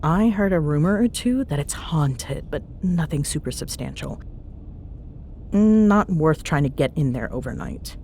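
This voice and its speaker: A woman acts out a young tsundere ghost hunter in an intimate audio roleplay. Her voice sits a little low but swings widely in pitch as she teases and bosses with sarcastic fondness. A faint vehicle-like rumble runs underneath. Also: lively intonation, a little slow, mellow. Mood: teasing, playful. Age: young adult. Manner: sarcastic, teasing, foul-mouthed banter. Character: young tsundere ghost-hunting woman, teasing, bossy, secretly fond. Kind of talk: audio roleplay spoken to a listener.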